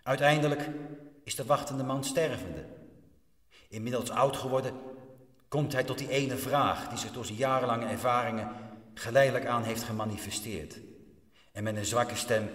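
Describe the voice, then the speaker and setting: A man narrating a radio drama in a solemn, measured, didactic way, as a stern storyteller. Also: mid-range voice, fairly bright tone, natural voice. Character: stern, measured male prison chaplain telling a parable. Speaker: narrator, actor and director of a radio play.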